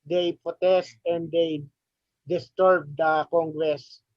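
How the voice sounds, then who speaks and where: A man hosting an interview, asking questions in halting, simple phrases and repeating words. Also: on the high side, little pitch movement, lo-fi sound. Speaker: interview host.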